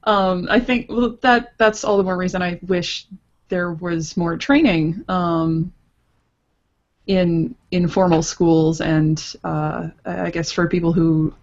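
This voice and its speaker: A woman's voice: on the low side, fairly even intonation, slow. A woman guesting on a casual podcast over an online call with dull, lo-fi audio, talking hesitantly. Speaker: podcast guest, comics artist.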